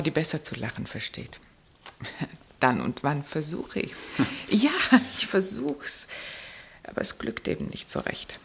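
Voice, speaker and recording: A low-voiced, stern aristocratic wife. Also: female voice, husky, exaggerated voice. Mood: sorrowful, apologetic. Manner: earnest, reproachful, measured. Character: stern, brooding, dutiful aristocratic wife and mother. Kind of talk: German radio drama acting.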